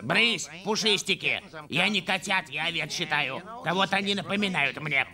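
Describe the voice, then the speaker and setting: A man voicing a lazy, grumpy stray tomcat in a cartoon dub, with a gravelly voice. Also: a little high, normal speed, close to an everyday voice. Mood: drowsy, grumpy. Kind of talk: cartoon dubbing. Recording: music behind the voice.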